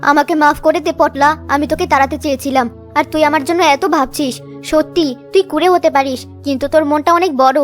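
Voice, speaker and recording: Woman playing a shrill, bossy sister-in-law. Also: fairly even intonation, a little fast, natural voice. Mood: remorseful. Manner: scolding, imperious. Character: bossy, greedy, sharp-tongued village sister-in-law. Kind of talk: children's cartoon voice acting. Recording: music under the voice. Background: children's music.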